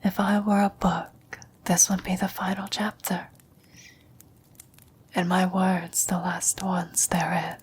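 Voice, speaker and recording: A woman playing a gentle, wise, dying old woman in a whispered, breathy, solemn ASMR roleplay. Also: lowish voice, little pitch movement, exaggerated voice. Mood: resigned, tender. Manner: slow, solemn, measured delivery.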